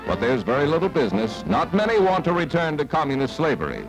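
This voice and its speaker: Animated high-pitched American newsreel announcer. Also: male voice, quick pace, over music, low-quality recording. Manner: dramatic, punchy announcer delivery. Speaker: newsreel narrator. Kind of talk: 1950s newsreel narration, scripted. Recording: vintage 1950s newsreel soundtrack.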